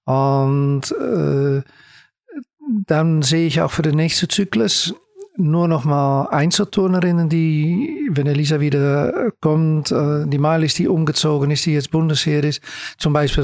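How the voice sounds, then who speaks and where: A middle-aged man from the Netherlands with a Dutch accent, a native Dutch speaker answering interview questions in halting, hesitant phrases as a sports coach. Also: fairly high pitch, gravelly, no echo.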